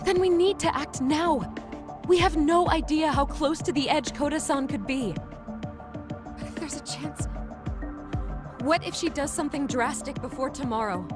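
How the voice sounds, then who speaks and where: A woman's voice: high-pitched, a little slow, pauses often. An actress playing an earnest, strong-willed female homeroom teacher with a pointed, formal manner in a video game drama. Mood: urgent, indignant. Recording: music behind the voice.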